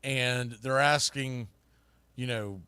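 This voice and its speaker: A man's voice: mid-range voice, steady tone, takes it slowly, bright, forceful tone, clean tone, no room sound. A man hosting a live sports talk podcast, speaking casually and emphatically with informal, opinionated, mildly salty phrasing.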